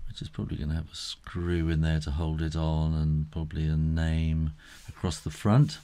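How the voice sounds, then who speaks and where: A middle-aged man giving a casual step-by-step drawing tutorial, unhurried and thinking aloud as he works. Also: very deep voice, level tone, warm tone.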